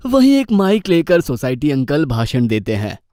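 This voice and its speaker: Man narrating a children's story, animated intonation. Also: fairly high pitch, normal speed, no room sound, studio quality.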